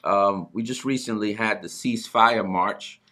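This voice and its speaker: A man's voice: lowish voice, unhurried, pushed and bright. A middle-aged man hosting a talk show, speaking conversationally and earnestly, often repeating words as he goes. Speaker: broadcast host.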